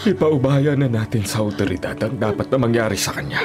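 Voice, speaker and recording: A man's voice: mid-range voice, lively intonation, fairly quick, close to an everyday voice. A Filipino man playing a dutiful young policeman torn over his criminal brother in an emotional, resolute radio drama style. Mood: sorrowful, resigned. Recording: dramatic music behind.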